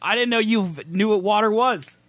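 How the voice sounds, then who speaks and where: Male podcast host, higher voice, very lively delivery, dry close mic. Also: normal speed, no room sound. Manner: animated, chatty storyteller. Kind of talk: casual podcast banter.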